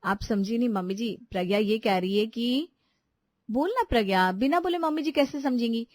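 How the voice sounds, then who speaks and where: A woman's voice: a little high, normal speed, a little mellow, no echo, clean studio sound, natural voice. A woman voicing a crafty, sweet-talking young daughter-in-law in a family story, coaxing and persuading. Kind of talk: animated Hindi family story dialogue.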